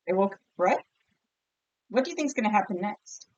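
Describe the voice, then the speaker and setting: A woman teacher reading a picture book aloud expressively and pausing to ask listeners questions. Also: medium pitch, expressive, a little mellow, muffled recording. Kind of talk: storybook read-aloud with comprehension questions.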